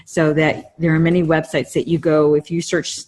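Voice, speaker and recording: A woman's voice: sits low, level tone, moderate pace, darkish tone. A woman co-hosting a podcast, explaining things casually in a wordy way and rephrasing herself as she goes. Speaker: podcast co-host.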